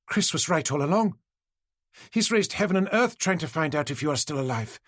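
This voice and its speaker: A man's voice: animated, a little fast, fairly bright tone, no echo, clean studio sound. A man voicing a glamorous, hysterical mother. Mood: urgent, excited. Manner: breathless, rising to shrill cries. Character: anxious glamorous middle-aged woman, hysterical mother.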